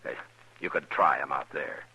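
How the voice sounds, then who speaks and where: A man acting a steady, tough Old West marshal in a radio western, terse, dry and commanding. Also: very deep voice, moderate pace, breathy edge, full character voice. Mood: easy, frank. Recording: dull, noisy old radio recording.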